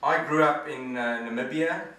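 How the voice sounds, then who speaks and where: A man's voice: mid-range voice, normal speed, sharp tone, recorded from a distance. Echoey man speaking in a hall. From South Africa. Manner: earnest, conversational, reflective. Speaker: guest speaker, management consultant. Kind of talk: presentation to an audience.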